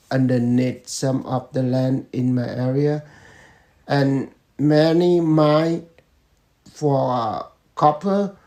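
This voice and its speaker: Father in his fifties; slow, mellow, measured delivery. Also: medium pitch. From Vietnam. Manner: slow, measured answers with pauses and fillers. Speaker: interviewee, father. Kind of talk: family interview.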